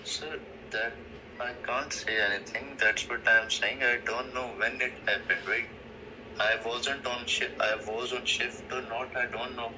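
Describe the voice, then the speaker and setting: A man's voice: medium pitch, level tone, a little slow, a crowd in the background, poor audio. A man answering in short, repetitive, defensive phrases during an unscripted call, heard through a phone speaker. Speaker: prank call recipient. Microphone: phone speaker picked up in a room. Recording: phone speaker with faint background noise.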